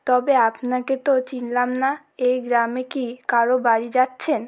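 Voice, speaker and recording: High-pitched, monotone, woman playing poor village youngster, humble, plain, cartoon drama. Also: normal speed, natural voice. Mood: weary, matter-of-fact. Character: poor humble village child caring for a deaf father. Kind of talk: cartoon story dialogue.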